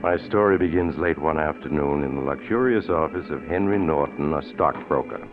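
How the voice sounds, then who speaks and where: A man narrating as the mysterious host of a 1940s radio drama, ominous, deliberate and theatrical. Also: extremely low pitch. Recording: muffled 1940s radio recording. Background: music.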